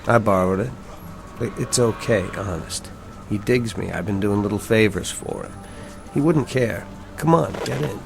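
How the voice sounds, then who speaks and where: A man's voice: lowish voice, normal speed, creaky. An actor playing a brash, resentful working-class young man with slangy, streetwise speech in a radio drama. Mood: wheedling. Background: music.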